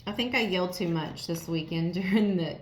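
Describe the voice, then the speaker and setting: Woman reading tarot cards, voice all raspy and strained, pretty frank, echoey room. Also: lowish voice, brisk, slight background noise. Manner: frank, repetitive, talks straight to the viewer. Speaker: tarot reader. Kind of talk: casual tarot reading to viewers. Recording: roomy echo.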